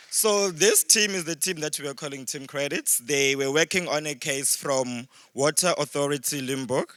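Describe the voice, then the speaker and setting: A man's voice: fairly high pitch, sharp tone, dry recording. A man moderating a live event as the session host, introducing and directing speakers.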